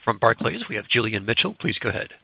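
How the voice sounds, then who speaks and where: A man's voice: mid-range voice, big pitch swings, fast talker, creaky, a little hiss or hum. A man working as a conference call operator, making brief formal announcements. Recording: dry, slightly dull phone connection.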